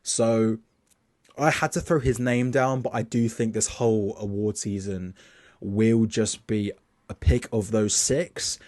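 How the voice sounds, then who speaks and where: A chatty young man with a lowish voice, walking through a list of award picks in a loose, casual way, often restarting his sentences. His voice sounds dry and close, with no room around it. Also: fairly even intonation, unhurried, darkish tone, dry recording. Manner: reads his list off notes. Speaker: film awards vlogger. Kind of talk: casual spoken predictions.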